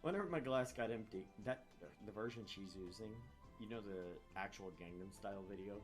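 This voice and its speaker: A man's voice: on the low side, brisk. A man hosting a gaming channel, joking and swearing casually and reading visual novel text aloud. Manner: joking, profane. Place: room at home, not soundproofed. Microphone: shared open microphone. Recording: open-mic room noise, music behind. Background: music.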